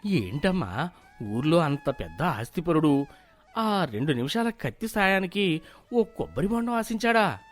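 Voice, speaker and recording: A man playing a weary, anxious village father in an animated moral story, gentle but grumbling. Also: on the high side, measured pace, soft background music. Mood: worried, grumbling.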